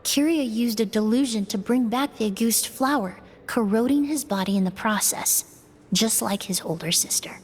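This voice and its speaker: A woman voicing a sharp, analytical young female investigator in a game, explaining in a calm, measured way. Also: on the high side, slight background noise, natural voice. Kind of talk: voiced game dialogue.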